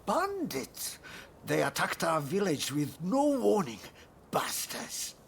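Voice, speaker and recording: An actor playing a humble, grateful village man in a video game, speaking in an earnest, deferential and measured way. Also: sits high, wildly swooping pitch, creaky, a little hiss or hum, exaggerated voice. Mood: alarmed, angry. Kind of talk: video game cutscene acting.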